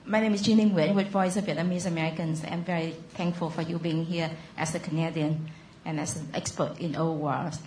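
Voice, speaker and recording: Female audience questioner, polite and deliberate, slightly low register, roomy sound with faint background noise. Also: steady tone, a little mellow. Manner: deliberate, polite, pausing between phrases.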